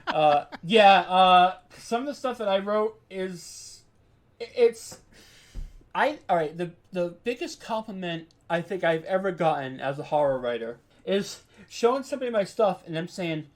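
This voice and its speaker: A man's voice: sits high, animated, measured pace. A man speaking as an interview guest in casual, candid chat, peppering his talk with "like" and "you know". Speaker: interview guest, horror audio drama writer.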